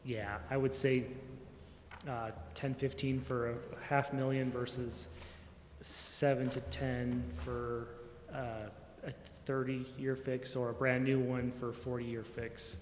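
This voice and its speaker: In a reverberant hall with faint noise, a man talks at a medium pitch, explaining things informally to officials. He feels his way through sentences as he thinks aloud. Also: level tone, takes it slowly. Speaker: town staff member answering council questions. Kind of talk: informal explanation at a public meeting. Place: indoor council chamber. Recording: echoey meeting chamber, faint background noise.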